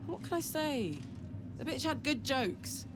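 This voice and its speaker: A woman's voice: high-pitched, expressive, measured pace, music in the background. An actress playing a tough, blunt female fighter in a video game, with a wry, sardonic manner. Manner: wry, blunt, casual swearing. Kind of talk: video game character dialogue. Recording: constant noisy ambience behind voice.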